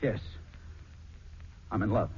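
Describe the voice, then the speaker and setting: A man's voice: slow pace, a little mellow, lightly acted. A man playing a defiant, sullen young son in a 1940s radio drama, on a muffled, noisy old recording.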